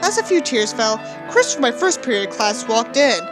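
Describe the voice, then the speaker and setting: A woman's voice: fairly high pitch, big pitch swings, normal speed, over music. A woman doing a dramatic book reading with sarcastic, sweary asides in a theatrical manner. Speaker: book reviewer and reader.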